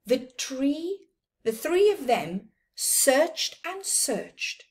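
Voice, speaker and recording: Middle aged lady reading a kids story, really slow, dramatic emphasis on words. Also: high-pitched, sing-song, darkish tone. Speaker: storyteller reading picture books for children. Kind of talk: expressive children's storybook read-aloud. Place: small plain room indoors.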